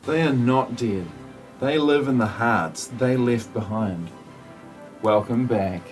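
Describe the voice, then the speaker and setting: A man plays an otherworldly saviour come to judge humanity in a comic audio drama. He is slow, grave and portentous at a medium pitch, with music clearly behind him. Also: natural voice. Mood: solemn. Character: solemn otherworldly messiah passing judgement on humanity.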